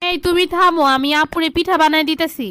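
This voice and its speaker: A woman's voice: extremely high pitch, steady tone, fairly quick, sharp tone, no echo. A woman voicing a meek, obliging young wife in a cartoon, compliant and self-effacing, with a colloquial Bangladeshi accent. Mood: meek, reassuring. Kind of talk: cartoon drama dialogue.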